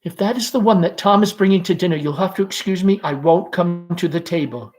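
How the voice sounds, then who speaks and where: A man's voice: high-pitched, fairly quick, a little mellow, faint music behind, voice pushed up, lightly acted. A man voicing a painfully shy, anxious young daughter in a stage play, breathless and pleading. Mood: nervous, hesitant.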